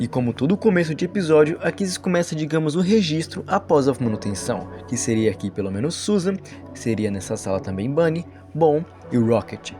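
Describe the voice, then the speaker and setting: Brazilian male narrator, slightly high voice, explanatory storytelling voice-over over background music. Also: a little mellow. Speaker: video essay narrator.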